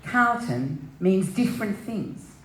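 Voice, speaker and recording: A woman giving measured, scripted narration of migrant history at a live indoor event. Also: medium pitch, big pitch swings, slight background noise. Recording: echoey hall, distant from mic.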